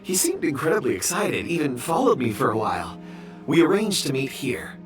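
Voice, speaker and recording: An actor playing a courteous, eager, absent-minded young rock expert in a video game, speaking politely and a little formally. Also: male voice, on the high side, huge pitch swings, fairly quick, distant mic, faint music behind. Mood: mild, candid. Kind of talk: English video game character acting.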